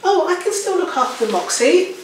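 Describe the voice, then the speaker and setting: A woman's voice: extremely high pitch, very animated, moderate pace, a little hiss or hum. A woman voicing a snooty, protective female cat owner in a story, prim and disapproving. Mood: prim disapproval. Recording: echoey room, distant mic.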